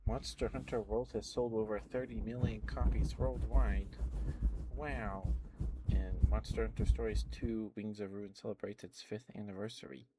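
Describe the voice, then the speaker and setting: Soft-spoken low-voiced man rambling. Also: fairly even intonation, moderate pace, poor audio. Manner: soft-spoken, rambling, with frequent fillers and laughs. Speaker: ASMR streamer. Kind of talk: casual rambling chat.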